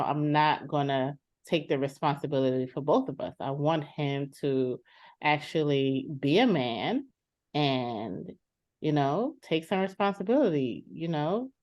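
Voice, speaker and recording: A woman's voice: low voice, a little mellow, dry recording, clean studio sound. A woman playing a sister fed up with her jobless brother in a role-played counseling session, talking in an exasperated, complaining way.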